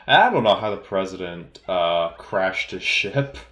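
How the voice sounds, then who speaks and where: Deep-voiced man giving halting commentary. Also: sing-song, a bit bright. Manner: halting, hesitant, often repeating words. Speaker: film commentator. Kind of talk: casual unscripted movie commentary. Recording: roomy, echoey sound.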